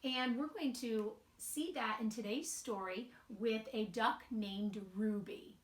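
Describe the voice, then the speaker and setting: A woman reading a children's picture book aloud in a deliberate, expressive way with emphatic pauses. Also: fairly high pitch. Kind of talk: read-aloud children's storytelling. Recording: roomy, echoing sound.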